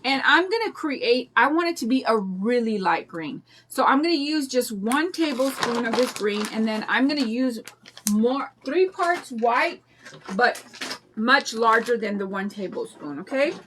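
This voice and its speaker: Older woman, raspy voice, rambling chatty craft host, faint background noise. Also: fairly high pitch, expressive, measured pace, fairly bright tone. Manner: chatty, rambling, greets viewers by name. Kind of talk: casual chat during a craft demo.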